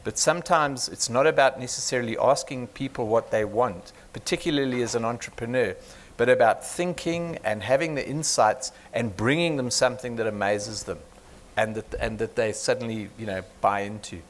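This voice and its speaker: A man's voice: medium pitch, moderate pace, slight background noise. A man on a panel answering an audience member in a direct, conversational way.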